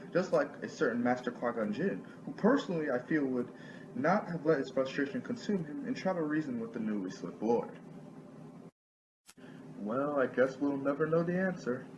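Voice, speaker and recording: A man's voice: mid-range voice, lo-fi sound, noisy background. Earnest man narrating a fan story. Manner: earnest, halting, casual. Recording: echoey room with music behind.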